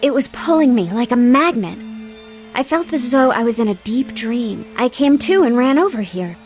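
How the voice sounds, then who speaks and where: A woman's voice: on the high side, lively intonation, moderate pace, a little mellow, faint music behind, lightly acted. A woman playing a dry, plain-spoken academic caught up in ghostly events in a video game. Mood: composed.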